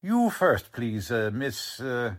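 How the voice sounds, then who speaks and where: Old radio crime drama: actor as slangy, mocking cop, unhurried delivery with big pitch swings. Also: male voice, big pitch swings. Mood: sarcastic, questioning. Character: gruff, sarcastic, wisecracking police lieutenant. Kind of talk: 1940s radio drama acting.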